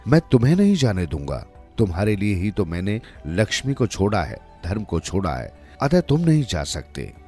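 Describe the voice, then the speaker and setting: A storyteller man plays an unyielding, righteous king of old in a moral tale. The voice is low and declamatory, rising and falling boldly with conviction, over faint music. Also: sing-song, moderate pace, dark tone. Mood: firm, resolute. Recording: music under the voice.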